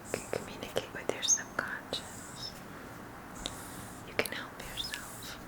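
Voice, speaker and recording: Female ASMR reiki guide: breathy whisper, very slow pacing, soothing repetition of short phrases. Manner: soothing, repeats words and short phrases slowly. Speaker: ASMR reiki practitioner and host. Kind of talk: ASMR guided energy-healing session.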